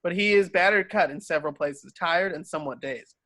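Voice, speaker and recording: A man's voice: on the high side, brisk, sharp tone, no room sound. A man narrating scripted stage directions for a table read.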